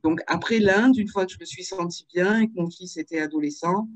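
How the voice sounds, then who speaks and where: A woman in her fifties from Marseille, telling her life story in a video-call interview, with frequent pauses and fillers. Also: fairly low pitch. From Marseille, France. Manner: hesitant, with many fillers and restarts. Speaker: author and interview guest.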